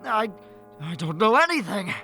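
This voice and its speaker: High-voiced theatrical old miser played by a man. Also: big pitch swings, moderate pace, vocal fry, sharp tone, breathy edge, faint music behind, heavily acted. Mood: dazed, relieved. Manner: theatrical, stammering, exclamatory. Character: repentant old male miser, desperate then giddy. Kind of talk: old-time radio play acting.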